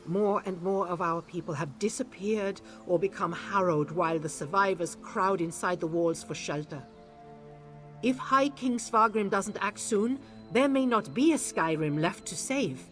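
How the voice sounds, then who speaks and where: A woman's voice: medium pitch, lively intonation, a little slow, fairly bright tone, faint music behind. A woman playing a grave, despairing townswoman in a fantasy video game, speaking solemnly and dramatically. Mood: despairing, urgent. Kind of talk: video game character dialogue.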